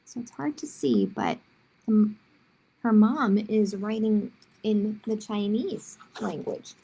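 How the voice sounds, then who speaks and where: Husky, creaky woman reading a storybook. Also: mid-range voice, big pitch swings, takes it slowly, mellow, rough recording. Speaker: children's storytime reader. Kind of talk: storybook read-aloud with short asides to young listeners.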